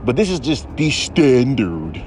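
A man with a baritone voice hosting a podcast, ranting in a heated, profane, rambling way. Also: very animated, measured pace, darkish tone, a little hiss or hum. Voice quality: deep baritone voice. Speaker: podcast host. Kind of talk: casual podcast rant.

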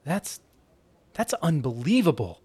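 A man's voice: on the high side, very animated, dark tone, breathy edge, pitched above the performer's own voice, exaggerated voice. A man voicing a shy, frail homeless woman with a hesitant, stammering manner in an audio story. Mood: awed, grateful. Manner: halting, stammering.

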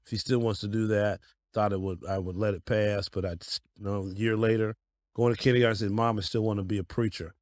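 Folksy middle-aged pastor, dry close sound. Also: male voice, fairly low pitch, steady tone, fast talker, darkish tone, no room sound. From Denver, Colorado. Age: 50s. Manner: folksy, storytelling, laughs easily. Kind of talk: interview guest telling life story.

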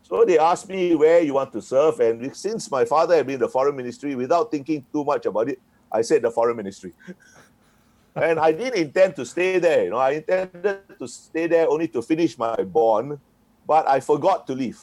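A man's voice: on the high side, lively intonation, normal speed, darkish tone. A man, a retired diplomat answering interview questions in a frank, wry way, often chuckling. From Singapore. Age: 60s.

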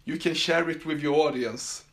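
A man's voice: fairly high pitch, moderate pace, a bit bright. A man from Sweden with a Swedish accent, hosting a casual solo podcast from his summer house, talking with many fillers and restarts. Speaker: podcast host.

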